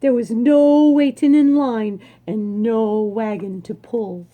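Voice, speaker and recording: A woman reading a children's storybook aloud expressively, savoring words with dramatic emphasis and pauses. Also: a little high, fairly even intonation, mellow, slight background noise.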